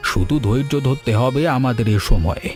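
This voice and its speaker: A man voicing a kindly, patient old grandfather who runs a food shop, gentle and earnest, in a cartoon story. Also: mid-range voice, moderate pace, faint music behind, natural voice. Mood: reassuring. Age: older.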